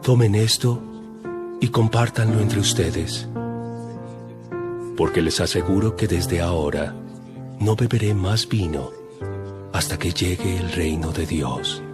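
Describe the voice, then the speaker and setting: Male actor as grave holy teacher, very low voice, measured delivery, audio Bible drama, prominent music. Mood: solemn, tender. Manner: solemn, measured, declarative.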